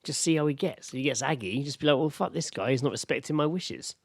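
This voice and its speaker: Fast, animated man bantering on a podcast. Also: medium pitch, slightly rough, no echo, studio quality. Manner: wry, sweary, interjecting. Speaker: podcast co-host. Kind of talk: casual comic chat and banter.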